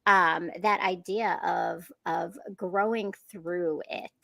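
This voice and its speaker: A woman hosting a live podcast interview, effusive and quick to laugh. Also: on the low side, fairly even intonation, vocal fry, no room sound. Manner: warm, effusive, laughs often. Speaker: podcast host.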